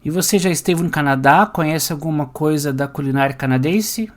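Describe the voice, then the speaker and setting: A man's voice: fairly high pitch, a little fast. A man working as a podcast host and journalist, presenting from a script and reading out interview questions. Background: music.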